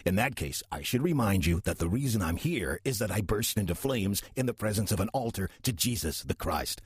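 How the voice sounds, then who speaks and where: Casting: male actor for sardonic demon posing as a man in his sixties, audio drama. Deep, rough-edged, deadpan, closely recorded. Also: no echo. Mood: sly, patient. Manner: dry, deadpan, unhurried sarcasm. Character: wry demon posing as a 63-year-old church usher. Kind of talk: audio drama acting with first-person narration.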